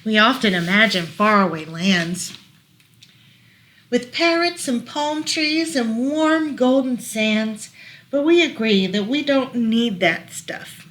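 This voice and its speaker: Unhurried woman reading picture books aloud. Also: fairly high pitch. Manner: gentle, unhurried, explaining pictures to little listeners. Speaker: children's librarian reading storytime. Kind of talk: read-aloud picture books for small children.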